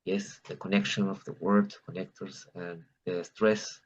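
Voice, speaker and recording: A man with a low, husky voice speaks in an online discussion. He goes haltingly, repeating words and listing points earnestly. The audio is dull and muffled. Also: fairly even intonation. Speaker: participant in an English practice meeting.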